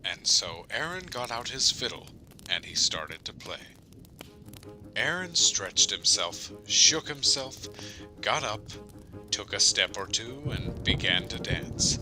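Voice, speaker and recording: A man's voice: fairly low pitch, sing-song, slow, music in the background, rough recording. A man playing a folksy village storyteller, telling a spooky tale rhythmically and expressively in an audio drama. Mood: relishing, spooky.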